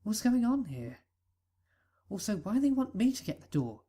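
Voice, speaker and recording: A woman's voice: mid-range voice, sing-song, moderate pace, dark tone. A woman voicing a shy flustered teen colt. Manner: flustered, hesitant, stammering. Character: shy bookish teenage colt cellist.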